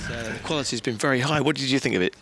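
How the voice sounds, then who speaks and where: A man's voice: mid-range voice, racing, fairly bright tone. A man hosting a TV interview, chatty, often opening questions with hesitant fillers. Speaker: interviewer, TV host. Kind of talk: casual interview.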